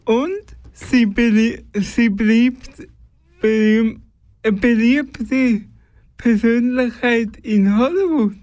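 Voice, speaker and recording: A radio presenter giving a celebrity birthday segment in a careful, halting way with some stumbles. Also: medium pitch, a little mellow, no echo, low-quality recording.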